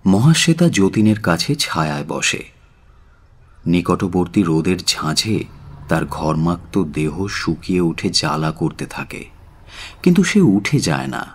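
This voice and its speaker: Deep, slow, expressive male narrator. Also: husky. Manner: measured, solemn, expressive. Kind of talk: dramatic literary audio story narration. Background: faint music.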